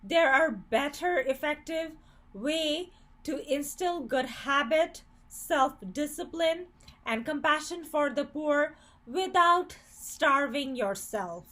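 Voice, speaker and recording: A woman's voice: high-pitched, animated, takes it slowly, fairly bright tone. A woman from Bangladesh giving an earnest, critical opinion commentary, with occasional stumbles and restarts.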